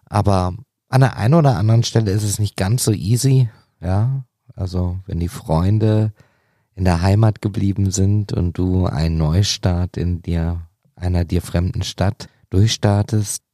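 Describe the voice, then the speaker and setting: A man's voice: deep voice, steady tone, unhurried, mellow, no echo, clean studio sound. A man from Hannover co-hosting a career podcast, talking in loose, chatty phrasing. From Hannover, Germany. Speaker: podcast co-host. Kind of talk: podcast chat.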